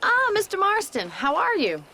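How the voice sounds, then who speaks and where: A woman playing a spirited, plainspoken young frontier ranch woman, wry and gently teasing, in a game cutscene. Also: very high-pitched, wildly swooping pitch, moderate pace, a little hiss or hum, full character voice. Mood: light, teasing. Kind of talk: video game cutscene acting.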